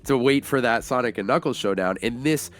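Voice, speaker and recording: A man co-hosting a casual film review podcast, talking like an excitable fan with plenty of slang. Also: medium pitch, fairly quick. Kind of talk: casual enthusiastic film discussion.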